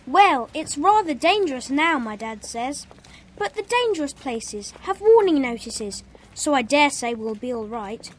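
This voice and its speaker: A woman's voice: high-pitched, sing-song, unhurried. A woman playing a sensible, cautious young schoolboy in a children's audio drama. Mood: reasoning.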